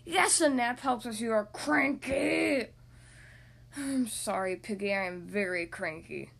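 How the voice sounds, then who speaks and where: A woman voicing Gerald, a tired and cranky male elephant, speaking slowly in a lower storybook voice. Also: vocal fry, a bit bright, exaggerated voice. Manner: slow, drowsy and grumpy.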